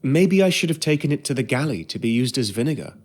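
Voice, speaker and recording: An actor playing a polite, reflective ship's steward narrating in a period drama game. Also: male voice, medium pitch, expressive, fast, darkish tone, slight background noise, natural voice. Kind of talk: scripted first-person period narration.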